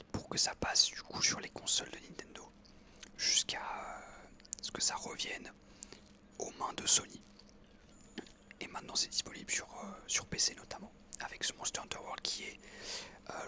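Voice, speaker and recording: A young man from France in his twenties, telling relaxed stories in a soft, unvoiced ASMR whisper while he plays a game, with many pauses and fillers. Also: very high-pitched. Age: 20s. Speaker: ASMR creator. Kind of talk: whispered ASMR storytelling over gameplay.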